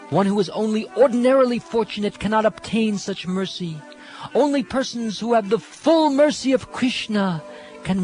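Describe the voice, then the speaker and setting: A male reader plays an ecstatic holy man in a devotional story, lovelorn and fervent. His high voice rises and falls with reverent yearning over sitar music. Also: a little mellow.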